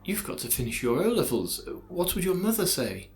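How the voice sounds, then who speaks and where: A man's voice: high-pitched, big pitch swings, moderate pace, soft background music. A man playing a spurned young suitor in an audio drama, pleading and indignant.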